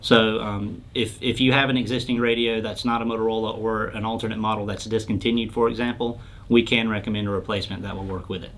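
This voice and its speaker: A man's voice: lowish voice, level tone, fast, fairly bright tone. A man answering questions as a company product expert, in an informal, plain-spoken explanatory style. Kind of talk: casual explanatory interview talk.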